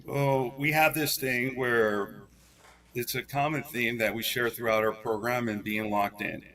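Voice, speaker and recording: Distant head coach, halting answers. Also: male voice, medium pitch, fairly bright tone. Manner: earnest, filler-heavy, halting. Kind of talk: interview answers.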